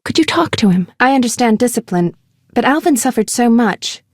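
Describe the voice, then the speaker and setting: An actress playing a warm, witty young female medic in scripted video game dialogue, speaking in a conversational, lightly teasing way. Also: on the high side, animated, normal speed, no echo, clean studio sound. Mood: concerned. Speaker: video game character voice actor.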